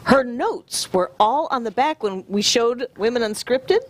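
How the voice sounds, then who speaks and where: A woman hosts a studio talk show in a fairly high voice with lots of pitch movement. She teases her co-hosts and asks curious questions. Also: lively intonation, a little slow. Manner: curious, teasing. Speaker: host. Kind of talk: casual chat.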